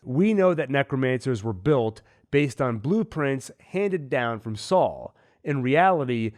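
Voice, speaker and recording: A man hosting a TV recap, talking with a cold in a measured, analytical way. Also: mid-range voice. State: has a cold. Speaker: host. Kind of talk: TV episode recap and theories.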